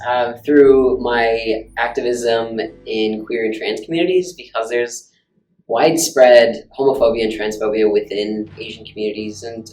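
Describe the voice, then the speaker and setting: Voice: mid-range voice, darkish tone, echoey room, poor audio. A student activist from Alaska, speaking frankly and thoughtfully in a personal interview. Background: faint guitar music.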